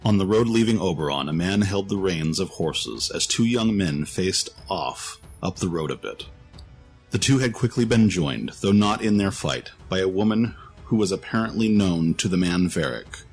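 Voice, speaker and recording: Very low-voiced man narrating fantasy over music. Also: expressive, moderate pace. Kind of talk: scripted fantasy story narration.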